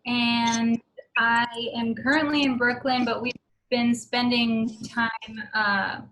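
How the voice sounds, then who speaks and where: Female panel guest, higher pitch, relaxed and chatty. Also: slow, a little hiss or hum, rough recording. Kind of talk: video call panel discussion.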